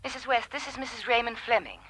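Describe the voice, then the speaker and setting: A woman's voice: a little high, quick pace, pushed and bright, breathy edge. An actress playing a formal, insistent married lady with urgent business, speaking pressingly in a radio drama.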